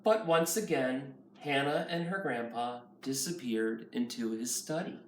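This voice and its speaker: A man's voice: medium pitch, unhurried. A man reading a children's picture book aloud as a nursery school teacher. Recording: roomy, far from mic, faint noise.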